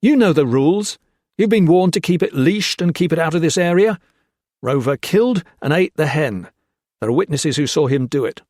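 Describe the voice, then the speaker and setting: A man's voice: a little high, expressive, moderate pace, a little mellow, no room sound, studio quality, voice pushed up, close to an everyday voice. A man voicing a tired, sick army officer who gives angry, commanding orders. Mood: stern. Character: exhausted, ill-tempered male officer.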